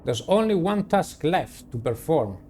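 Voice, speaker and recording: A man's voice: fairly high pitch, big pitch swings, unhurried, darkish tone, a little hiss or hum. A man reading a visual novel aloud as a playthrough, with dramatic, theatrical storytelling. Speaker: game player reading aloud.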